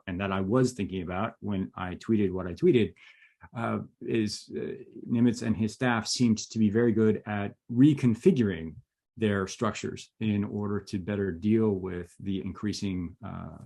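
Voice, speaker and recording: A man with a fairly low voice explains his ideas on a video call panel. He speaks in a measured, steady way. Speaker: panelist, author. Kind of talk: panel talk.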